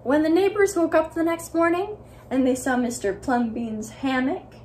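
A young woman narrating a storytime for young children in an expressive, deliberate way, recorded in a living room by a camera a few feet away. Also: high-pitched, a little hiss or hum. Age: young adult. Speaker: library storyteller.

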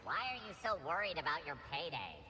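High-pitched, hapless battle droid. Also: male voice, sing-song, quick pace, sharp tone, exaggerated voice. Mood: uneasy, doubtful. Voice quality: nasal robotic voice. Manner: naive, literal-minded, querulous. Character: hapless, anxious, chatty battle droid foot soldier. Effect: robot filter. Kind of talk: video game character dialogue. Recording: noisy game capture, long reverb.

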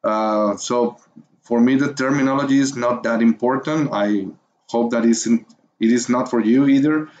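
A man's voice: medium pitch, a little mellow. A man with a Mexican Spanish accent narrates a beginner's screen tutorial in a mid-range voice, stopping often with fillers as he searches for English words. His tone stays encouraging and patient. The recording sounds a little muffled, with a faint hiss underneath. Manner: hesitant, many fillers and pauses while finding words. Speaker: course instructor.

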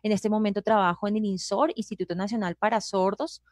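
A woman, a sign language interpreter introducing herself on a live panel, speaking steadily with frequent "eh" pauses. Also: medium pitch, dry recording, studio quality. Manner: steady, hesitant "eh" fillers.

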